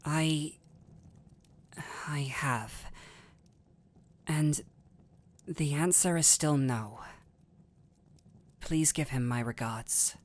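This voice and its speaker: A woman playing a gentle, caring physician in a fantasy audio drama, patient and soothing, with a softly teasing touch. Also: sits low, drawn-out words, pauses often, full character voice. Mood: polite, reserved. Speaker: audio roleplay voice actor. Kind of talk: intimate scripted audio roleplay.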